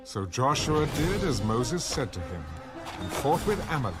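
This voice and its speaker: A man acts as a solemn storyteller in an audio scripture drama. His mid-pitched voice moves up and down expressively while music plays behind him. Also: sing-song, moderate pace, close to an everyday voice. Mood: solemn storytelling. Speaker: narrator. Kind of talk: dramatized audio Bible narration. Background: musical score.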